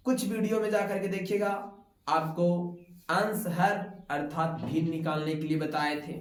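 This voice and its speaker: Guy teaching maths in a classroom, high voice, keeps asking the kid questions, echoey room. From Bihar, India. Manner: explains step by step and keeps quizzing a pupil.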